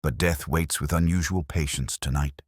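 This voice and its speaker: A man reads as a Victorian detective in an audiobook, cool and commanding. The voice is extremely low and a little rough, making precise, theatrical pronouncements in a dry, close recording. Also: steady tone, dry recording. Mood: cold, sardonic. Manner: cold, deliberate, theatrical pronouncements.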